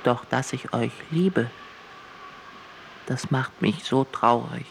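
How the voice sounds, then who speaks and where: A man's voice: medium pitch, slow pace, creaky, a little hiss or hum. An actor playing a sorrowful, brooding godlike man torn between love and hate, in an absurdist audio drama. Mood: mournful, bitter. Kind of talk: theatrical musical monologue in an absurdist audio drama.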